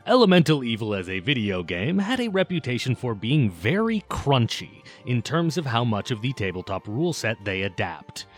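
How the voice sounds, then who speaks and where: A man's voice: mid-range voice, fairly bright tone. A man narrating a scripted video essay in an informal, opinionated way, with casual asides.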